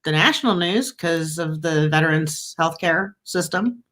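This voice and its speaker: A woman's voice: deep voice, a little slow, fairly bright tone. A woman hosting a podcast, chatting casually and agreeably with her guest. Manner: agreeable, chatty, often laughing along. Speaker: podcast host. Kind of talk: casual chat.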